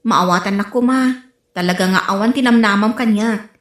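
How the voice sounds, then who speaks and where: A woman's voice: medium pitch, brisk, natural voice. An actress playing a firm woman rejecting a suitor, with a Filipino accent, in a comedy radio drama. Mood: dismissive. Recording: roomy echo, faint music.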